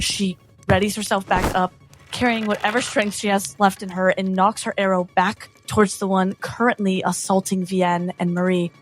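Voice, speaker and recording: A woman with a medium-pitched voice plays a tabletop fantasy game. She describes her character's actions in dramatic third-person narration. Faint music is heard behind her. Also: rough recording.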